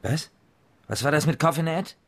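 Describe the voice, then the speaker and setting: Male sergeant, husky, fairly high voice, commanding and sardonic, radio crime play. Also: normal speed. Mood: weary, questioning. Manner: commanding, exasperated. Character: brusque, sardonic male police sergeant in charge. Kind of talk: radio crime drama acting.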